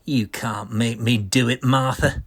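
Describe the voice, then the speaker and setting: Husky, slow, deluded artist played by a man. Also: medium pitch, steady tone, a bit bright. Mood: bitter, sullen. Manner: melodramatic, resentful. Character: deluded, bitter, arrogant male artist going mad. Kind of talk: vintage horror comic audio drama acting.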